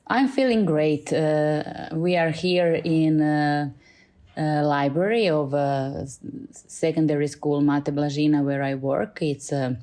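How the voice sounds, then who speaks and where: Middle-aged woman, Croatian accent, low voice, unhurried, hesitant with fillers. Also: warm tone. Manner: hesitant, many fillers and restarts. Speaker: school librarian and Italian teacher, guest. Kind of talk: podcast interview. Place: school library.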